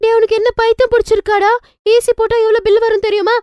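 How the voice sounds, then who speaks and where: A woman acts out a stingy young mother from a cartoon moral tale. Her voice is very high and shrill, argumentative and full of exclamations. The sound is dry and close. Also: flat delivery, quick pace, dry recording, muffled recording. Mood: indignant scolding. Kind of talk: dubbed cartoon moral story.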